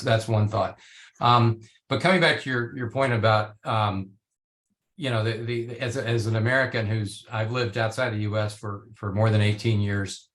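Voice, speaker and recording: A man who grew up in Belgium and Italy, speaking as a panelist on a video call in a measured way with many fillers and restarts. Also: on the low side, a bit bright. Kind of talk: virtual panel discussion.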